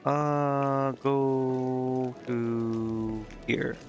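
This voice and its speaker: A man's voice: medium pitch, monotone, drawn-out words, faint music behind. A man streaming a video game, thinking aloud in a casual, offhand and indecisive way. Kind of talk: casual live gameplay commentary, thinking aloud.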